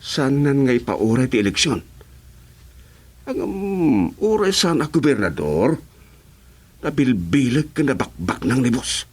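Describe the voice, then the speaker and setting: A man's voice: mid-range voice, sing-song, measured pace, long pauses, husky. A man acting a brash, sly, teasing henchman in an Ilocano radio drama.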